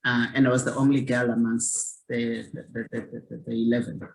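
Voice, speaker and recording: A woman's voice: extremely low pitch, level tone, moderate pace, creaky, poor audio. Earnest woman in her sixties, from South Africa. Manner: earnest, repeats words while searching for them. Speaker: guest, community activist. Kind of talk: reflective interview storytelling.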